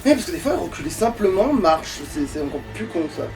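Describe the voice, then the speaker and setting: Casting: male let's player, high-pitched, exasperated and foul-mouthed, casual running commentary, recorded off-mic. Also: sing-song, brisk, a little mellow, faint music behind. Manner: sweary, exasperated, rambling to himself. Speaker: let's play gamer. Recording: distant mic with light background noise.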